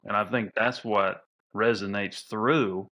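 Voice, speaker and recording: Bright-voiced rambling male podcast host. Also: lowish voice, fairly even intonation, slow. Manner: loose, rambling questions with restarts. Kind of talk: casual interview chat.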